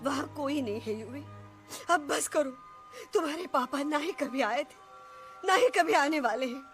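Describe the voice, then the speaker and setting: Anguished mama leopard in a cartoon, really high voice, soft music behind. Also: female voice, expressive, unhurried. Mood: weary denial. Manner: emphatic, emotional. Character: protective, anguished mother leopard. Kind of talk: animated film voice acting.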